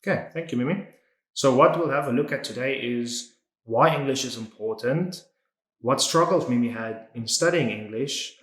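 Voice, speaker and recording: A man's voice: medium pitch, a bit bright, reverberant, rough recording. A man hosting an educational interview, chatty and opinionated, often opening his questions with "so". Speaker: interview host, English teacher.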